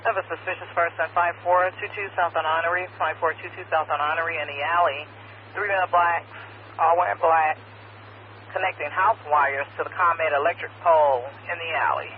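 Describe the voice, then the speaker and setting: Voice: medium pitch, steady tone, brisk. A police dispatcher reading out calls over a police radio. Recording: noisy narrowband police scanner radio.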